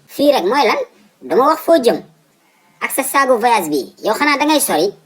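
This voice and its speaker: Casting: stubborn old Senegalese cartoon dad, very high husky voice, melodramatic, repeats himself. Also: male voice, big pitch swings, moderate pace, exaggerated voice, rough recording. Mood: suspicious. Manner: exclamatory, repeats words emphatically. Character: fussy, pleading, stubborn old father, comic and dramatic. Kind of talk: comic cartoon dubbing.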